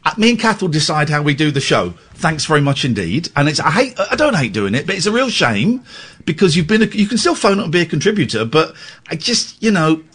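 A man's voice: on the high side, very animated, brisk, a bit bright, husky. A man hosting a radio phone-in from a studio. He talks bluntly, teases his callers and interrupts them. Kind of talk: late-night phone-in chat.

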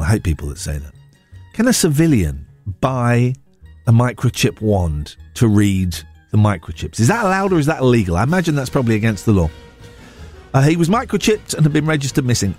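A man's voice: medium pitch, a little mellow. A man hosting a morning radio show with a blocked nose, chatting casually and reading out stories in a rambling, jokey way, stumbling and correcting himself. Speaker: radio breakfast show host. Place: radio studio.

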